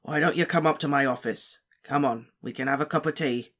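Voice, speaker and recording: A gruff, battle-hardened old wizard teacher, as a male narrator puts him on, growling his warnings loud and hard. He hammers every point home with a forceful, emphatic bark. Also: on the high side, steady tone, normal speed. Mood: gruff, gentler. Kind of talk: audiobook character voice.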